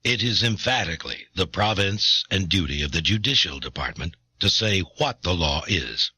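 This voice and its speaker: Authoritative old-time judge, deep raspy voice, forceful and animated declamation, grave and stately, historical audiobook. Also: male voice, moderate pace, no room sound, exaggerated voice. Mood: stern, resolute. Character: grave, authoritative male chief justice of early America. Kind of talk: dramatic reading of a historic court opinion.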